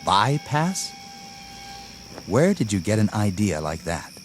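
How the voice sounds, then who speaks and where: An actor playing a gung-ho, bullying army lieutenant in a radio drama, curt, commanding and taunting. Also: male voice, fairly low pitch, big pitch swings, slow pace, slight background noise. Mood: scornful.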